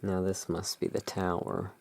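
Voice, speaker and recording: ASMR reviewer, very unhurried and musing, with a crackly vocal fry. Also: low voice, little pitch movement, darkish tone. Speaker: tarot reviewer in a roleplay as emporium owner. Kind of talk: relaxed, unscripted show-and-tell commentary.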